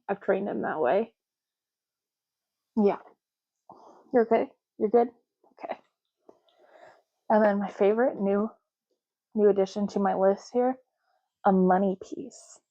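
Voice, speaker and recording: A woman doing a soft-spoken ASMR roleplay, talking slowly and casually and often repeating words in a deliberate way. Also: mid-range voice, dull audio.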